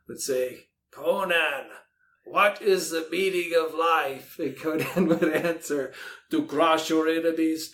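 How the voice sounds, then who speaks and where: A man talking casually in a podcast interview, rambling a little as he thinks aloud. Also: a little high. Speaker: podcast host and author being interviewed. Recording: faint background noise.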